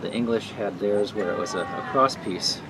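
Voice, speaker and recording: Man, low voice, relaxed craft explainer, loud outdoor noise. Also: normal speed. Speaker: woodworking demonstrator. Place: outdoor craft demonstration area.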